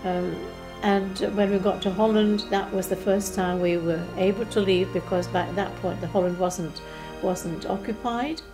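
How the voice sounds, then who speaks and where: A woman in her eighties, originally from Czechoslovakia, recalling her childhood gently and unhurriedly, with pauses and restarts. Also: mid-range voice, steady tone, music in the background, rough recording. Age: 80s. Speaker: interviewee. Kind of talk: recounting childhood memories. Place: living room, seated in armchair.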